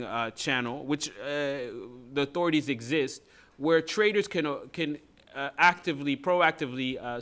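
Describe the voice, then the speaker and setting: A man testifying at a government hearing, reading prepared remarks with frequent pauses and restarts. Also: medium pitch, a bit bright. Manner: reads from notes with frequent uhs and restarts. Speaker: expert witness at a hearing.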